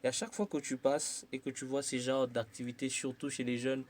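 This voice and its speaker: A man hosts a radio interview and asks his guest questions in formal broadcast phrasing. His pitch barely moves, which gives him an even, steady delivery at an ordinary register. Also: fairly quick. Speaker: radio host.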